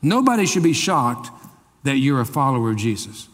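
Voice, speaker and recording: A man's voice: medium pitch, sing-song, normal speed, a bit bright. Man preaching in a reverberant hall. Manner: folksy and joking, with playful asides to the congregation. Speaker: pastor. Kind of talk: sermon. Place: church sanctuary. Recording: long reverb of a large hall.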